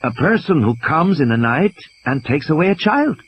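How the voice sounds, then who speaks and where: A man's voice: on the high side, sing-song, moderate pace, husky, highs cut off, voice pushed up. A man voicing a practical, no-nonsense village midwife of sixty, firm, wary and terse, in an audio play. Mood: puzzled. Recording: background hiss.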